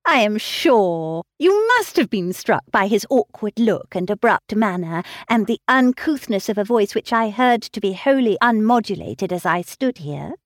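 Woman playing a condescending young lady in an audiobook, crisp and lively, dry close sound. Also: mid-range voice, measured pace, hardly any pauses, dry recording, lightly acted. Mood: disdainful. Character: self-assured, snobbish young gentlewoman, lecturing.

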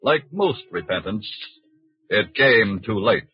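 A man giving solemn, formal, measured narration in the style of a 1940s radio drama. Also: lowish voice, expressive, very noisy. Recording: muffled old radio broadcast recording.

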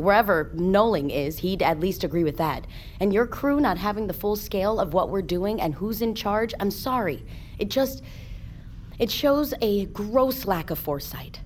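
An actress playing a blunt, outspoken ship's crew member in an audio drama. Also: female voice, medium pitch, animated, moderate pace, a little hiss or hum, natural voice. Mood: angry, challenging. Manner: blunt, confrontational. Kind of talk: naturalistic audio drama acting.

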